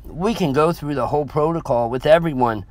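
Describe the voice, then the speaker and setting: Worried woman from a nursery, voiced by a male narrator, polite, formal and urgent, raised pitch, audio story. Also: a little fast, a little mellow, lightly acted. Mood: pleading, reassuring.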